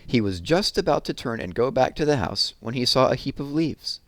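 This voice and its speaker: A man reading a children's picture book aloud in a gentle, expressive storytelling manner. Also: mid-range voice, fairly quick, dry recording.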